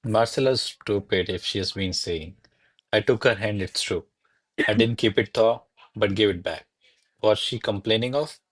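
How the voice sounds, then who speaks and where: A man acts a cautious secretary serving a great lady in a classical radio comedy. His voice is on the low side. He delivers formal verse in a measured, deferential, theatrical way. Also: natural voice. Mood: guarded, deferential.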